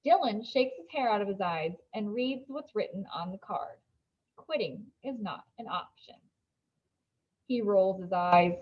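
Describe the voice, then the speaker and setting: A woman reading a children's novel aloud as an expressive storybook narrator. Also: mid-range voice, measured pace, lo-fi sound.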